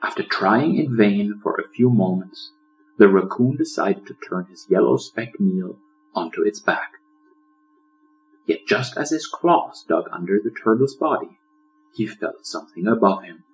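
A man narrating a children's story as an audiobook, in a dramatic, expressive storyteller's manner. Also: fairly low pitch, a little slow, soft background music, poor audio. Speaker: author reading own audiobook.

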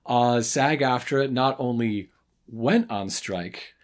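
A man's voice: medium pitch, takes it slowly. A man co-hosting a podcast in casual, teasing banter, often wandering off into asides. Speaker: podcast co-host.

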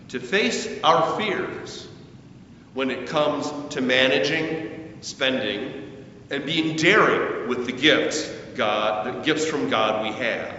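An older man, a pastor, preaching a sermon with deliberate, emphatic phrasing and stressed pauses. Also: bright, forceful tone. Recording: echoey hall, distant mic, muffled, faint rumble.

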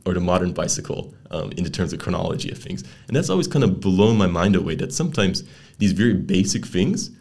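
A young man hosts a casual podcast, rattling along at a quick pace. His low voice is gravelly and a little husky, and it swings widely in pitch as he tosses in playful quips. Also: sing-song, a little mellow. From Netherlands. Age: young adult. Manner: chatty, playful asides and quips. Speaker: podcast host.

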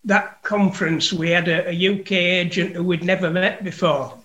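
A man's voice: high-pitched, steady tone, moderate pace, bright, forceful tone, dull audio. A man chatting as an interview guest, restarting his sentences as he tells an anecdote. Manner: stumbling restarts while telling an anecdote. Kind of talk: casual interview chat.

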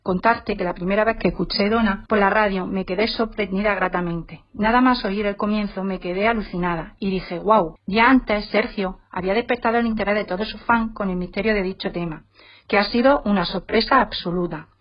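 A woman's voice: medium pitch, brisk. A woman giving a prepared message as a fan. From Jaén, Spain. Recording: dull lo-fi voice message.

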